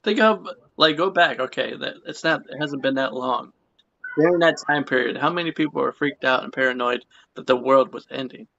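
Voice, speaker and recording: A man's voice: medium pitch, fairly quick, gravelly, bright, forceful tone. A man in his late twenties hosting a casual interview, rambling and repeating his phrases. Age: late 20s. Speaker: podcast host. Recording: muffled lo-fi audio.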